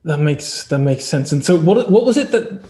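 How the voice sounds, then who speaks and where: A man hosting a casual interview, feeling his way haltingly through his questions. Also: a little high, expressive, warm tone. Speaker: podcast host.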